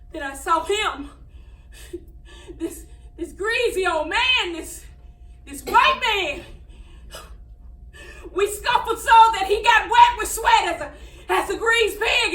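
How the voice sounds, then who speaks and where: Woman acting very high-voiced frightened country girl, echoey. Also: sharp tone, exaggerated voice. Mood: terrified, distraught. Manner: halting, repeating words, highly emotional storytelling. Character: frightened young country girl recounting an attack, haunted, defiant. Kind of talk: dramatic stage monologue. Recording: echoey room, distant mic, faint noise.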